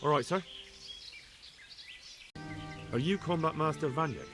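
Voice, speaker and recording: An actor playing an earnest, dutiful young scout working undercover in a video game drama. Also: male voice, on the high side, quick pace, over music, lightly acted. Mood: guarded, polite.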